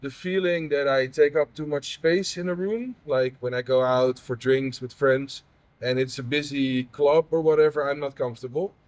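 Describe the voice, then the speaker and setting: A man's voice: mid-range voice, fairly even intonation, no room sound. Hesitant man in his thirties. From Netherlands. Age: 30s. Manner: halting, many fillers and restarts. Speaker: patient advocate interviewer. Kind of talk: personal conversation.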